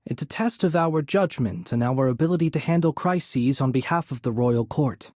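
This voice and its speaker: A man playing a courteous, composed young noble mage in a video game, soft-spoken and slightly breathy, with polite, measured, formal phrasing. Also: medium pitch, no room sound, studio quality, lightly acted. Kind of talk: video game character voice acting.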